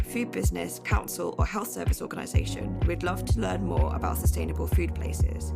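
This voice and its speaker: A woman's voice: on the low side, vocal fry. A woman hosting a podcast, reading scripted links between interview clips. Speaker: podcast host.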